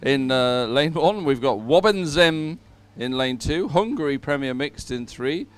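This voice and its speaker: A man commentating live at a sporting event and reading out results in a hesitant, halting way. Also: medium pitch, animated, clean tone.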